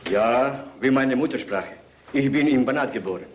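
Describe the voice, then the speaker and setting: An actor playing a brash, streetwise undercover agent who talks rough and pushy. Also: male voice, mid-range voice, moderate pace, natural voice. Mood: dutiful. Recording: hissy film soundtrack.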